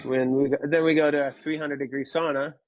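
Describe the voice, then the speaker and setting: A man, telling his personal story in an interview, candid and halting, often repeating words. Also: on the high side, little pitch movement, no room sound, muffled recording. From Finland. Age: 30s. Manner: candid, casually profane. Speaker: podcast guest.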